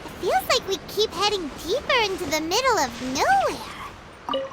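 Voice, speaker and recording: A woman voicing a small, chirpy, excitable fairy companion girl in a video game, with a squeaky childlike voice. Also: normal speed, very noisy. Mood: curious, eager. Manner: exclamatory, expressive.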